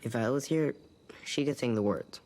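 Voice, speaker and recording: A man playing a gentle, earnest young boy survivor in a naturalistic video game drama. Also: mid-range voice, a little fast, natural voice. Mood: hopeful, tentative.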